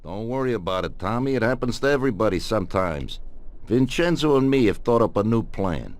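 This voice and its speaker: A low-pitched, older Italian-American mob boss, acted by a man for a video game. He speaks calmly and with command, like someone used to laying out plans and being obeyed. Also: brisk, lightly acted. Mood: reassuring, steady. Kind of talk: video game cutscene acting.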